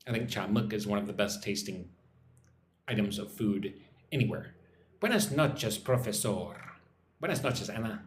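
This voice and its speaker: Lively American man teaching English live. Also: medium pitch, reverberant, slight background noise. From United States. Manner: chatty, answers viewers by name. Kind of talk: casual livestream lesson, reading chat comments. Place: home in Vietnam.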